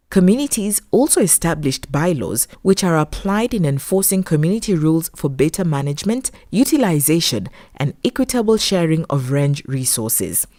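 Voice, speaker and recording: Female documentary narrator, low and mellow, lively intonation, measured formal delivery. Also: no room sound, studio quality. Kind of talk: scripted narration.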